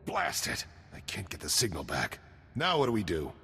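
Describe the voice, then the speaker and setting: An actor playing an earnest, conscientious military engineer in a video game, frank and urgent in delivery. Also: male voice, deep voice, wildly swooping pitch, moderate pace, creaky, pushed and bright, slightly rough, in a large hall, exaggerated voice. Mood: urgent, frustrated.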